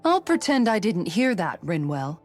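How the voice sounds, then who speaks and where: A woman's voice: lowish voice, sing-song, normal speed, soft background music. A woman voicing a composed, good-humoured grown woman warrior in a video game. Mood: dryly amused. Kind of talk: video game cutscene acting.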